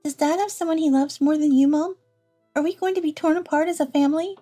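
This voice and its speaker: An anxious little girl, acted by a woman narrator, pleads with worried questions in a storybook drama. The voice is high and soft, over faint music. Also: fairly even intonation, fast talker, lightly acted. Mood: anxious, pleading. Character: young girl, nine, anxious pleading daughter.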